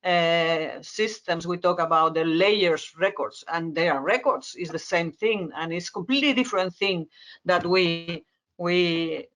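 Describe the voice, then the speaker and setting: A woman's voice: on the low side, fairly bright tone. A woman from Spain with a Spanish accent, a native Spanish speaker, answering as an expert panelist and searching for words with frequent repetitions. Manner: halting, word-searching explanation with repetitions. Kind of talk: conference panel discussion.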